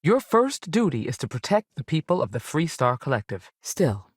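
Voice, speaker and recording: A woman's voice: sits low, big pitch swings, normal speed, breathy edge, dry recording, studio quality, exaggerated voice. A woman playing a seasoned, firm but fair space ranger in a video game, plainspoken and authoritative, with folksy turns of phrase. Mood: firm, measured. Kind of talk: video game character dialogue.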